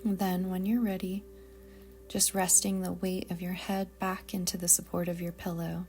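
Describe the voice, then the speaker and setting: A woman guiding a yoga nidra meditation in a soft, breathy, calm and deliberate voice that often trails toward a whisper. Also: fairly low pitch, faint music behind. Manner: calm, soothing, gentle, deliberate. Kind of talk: slow guided meditation narration.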